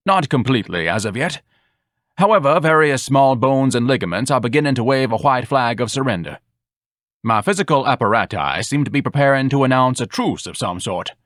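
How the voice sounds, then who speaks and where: Man voicing a pompous grandiloquent young man, self-pitying, lofty drawl, higher than usual, dry sound. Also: animated, a little fast, a bit bright, dry recording, lightly acted. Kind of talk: audiobook dialogue.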